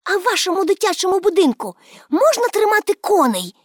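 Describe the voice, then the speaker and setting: Woman acting a cheeky high-pitched little girl. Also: sing-song, a little fast, no echo, clean studio sound. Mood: cheeky, curious. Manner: playful, breezy, emphatic. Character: cheeky, carefree nine-year-old girl, proud and contrary.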